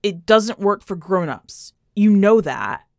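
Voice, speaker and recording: A woman's voice: medium pitch, very animated, takes it slowly, dry recording, clean studio sound. A woman voicing an anxious, angry middle-aged man, urgent and pleading, in an audio story.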